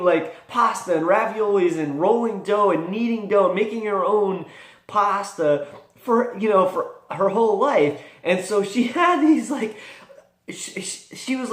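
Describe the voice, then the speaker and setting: High-voiced man vlogging in echoey room. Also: a bit bright. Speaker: tabletop gaming vlogger. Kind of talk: casual, rambling vlog talk.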